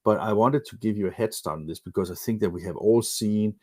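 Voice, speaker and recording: A Danish-accented man gives a lecture-style webinar in a low voice. He pauses often and restarts his sentences. The sound is dry and close to the mic. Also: darkish tone, dry recording. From Denmark. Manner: hesitant, many fillers and restarts. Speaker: webinar presenter, magazine editor.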